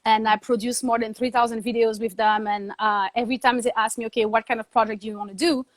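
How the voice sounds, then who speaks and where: A woman's voice: a little high, steady tone, quick pace, dry recording. A woman, a TV producer and journalist, telling her story as a guest in a casual interview and acting out her own past thoughts as quotes.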